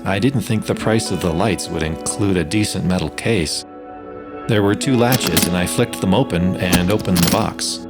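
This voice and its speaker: Music plays behind a man acting as a spaceship pilot with a low voice. He narrates his own science fiction adventure in a measured, dry way, like an audio play. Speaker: narrator and lead actor. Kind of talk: first-person sci-fi audio story narration.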